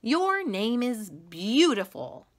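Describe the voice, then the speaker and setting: A woman's voice: on the high side, sing-song, takes it slowly, pitched above the performer's own voice, heavily acted. A woman voicing a doting, reassuring mother mouse in a storybook, gushing and emphatic.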